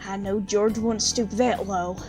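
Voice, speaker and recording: A woman's voice: mid-range voice, fairly even intonation, measured pace, a little mellow, faint music behind, natural voice. Woman doing a poor village widow for an audio drama, jaded, blunt, salty way of talking. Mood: bitter, unsentimental. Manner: plain-spoken, colloquial, bitter.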